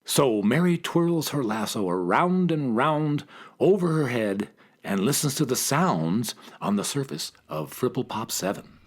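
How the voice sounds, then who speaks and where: A man's voice: medium pitch, sing-song, unhurried. A man narrating a scripted children's radio adventure in a storybook manner, speaking straight to young listeners.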